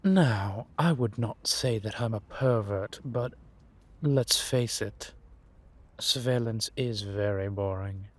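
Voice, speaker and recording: A man playing a sly, scheming vampire spy in an audio roleplay, husky and theatrical, savoring his words in drawn-out musings. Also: on the low side. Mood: wry, relishing. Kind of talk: scripted audio roleplay monologue addressed to a companion.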